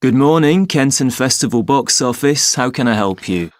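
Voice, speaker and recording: A man plays a helpful festival clerk on a scripted phone enquiry. His voice sits at a middling pitch and explains things clearly and courteously. The sound is very dry, without room echo. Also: expressive, normal speed, lightly acted. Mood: helpful, polite. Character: helpful, polite festival box office clerk. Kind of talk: scripted listening-test phone dialogue.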